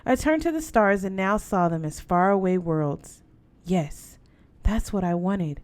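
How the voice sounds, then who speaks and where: A woman's voice: mid-range voice, animated, a little slow, a little mellow. A woman narrating a first-person story as an audiobook reader, in a scripted storytelling style.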